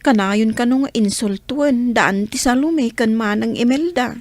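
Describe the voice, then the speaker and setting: A woman's voice: on the high side, unhurried, dry recording, lightly acted. A woman acting as a cheerful young daughter in an audio drama, proud of her older sister.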